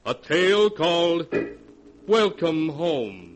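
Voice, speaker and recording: A man's voice: on the high side, big pitch swings, takes it slowly. A man acting as the solemn, theatrical host of a 1940s radio horror anthology, narrating with ominous gravity. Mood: grandly foreboding. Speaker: announcer and host. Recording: noisy vintage 1940s radio, long reverb.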